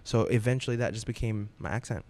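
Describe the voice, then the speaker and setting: A man working as a radio DJ, chatting as a podcast guest in an animated, expressive way. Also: fairly low pitch, quick pace. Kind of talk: casual podcast interview.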